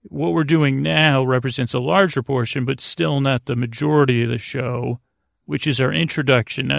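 Creaky-voiced, meandering male podcast host. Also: medium pitch, no echo, studio quality. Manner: meandering, hesitant, halting self-interruptions. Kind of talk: rambling, tangent-filled bedtime podcast monologue.

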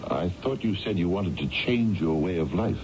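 Muffled old radio play, a deep voiced imposing gent in his fifties, formal, expressive. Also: normal speed. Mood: measured, questioning. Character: imposing, courteous fifty-five-year-old gentleman who handles money. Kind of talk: old radio drama acting. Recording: muffled old radio drama recording, faint noise.

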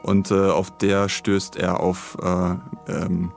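A man with a low voice and a lot of vocal fry hosts a podcast. He talks informally and haltingly, in casual chat. Faint music plays behind him. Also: completely flat delivery, a little mellow. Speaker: podcast host.

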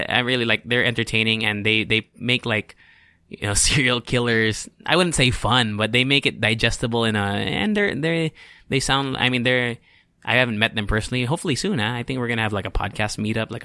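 A man's voice: mid-range voice, pushed and bright, clear, resonant tone, no room sound. A young man with a Filipino accent hosting his own podcast, talking in a rambling, chatty, self-deprecating way and dropping in Tagalog words. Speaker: podcast host. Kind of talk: casual solo podcast monologue.

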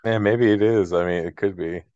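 A deep-voiced man co-hosts a casual album chat. The recording is dry and somewhat muffled, with a lo-fi dullness to his voice. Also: steady tone, moderate pace, a little mellow, dry recording. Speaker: podcast co-host. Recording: dull, dry lo-fi sound.

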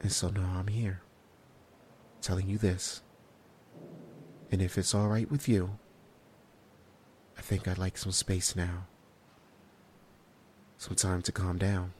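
An actor playing a shaken male survivor, telling his tale in a haunted, measured way in a horror audio drama. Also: low-pitched, flat delivery, a little mellow, a little hiss or hum. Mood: shaken, weary. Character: shaken male survivor recounting a harrowing escape.

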